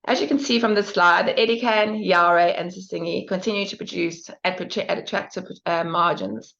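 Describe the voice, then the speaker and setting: A woman's voice: fairly low pitch, moderate pace, creaky, a bit bright. A woman presenting financial results as an executive, reading figures formally and carefully with small stumbles. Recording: dull, band-limited conference call audio.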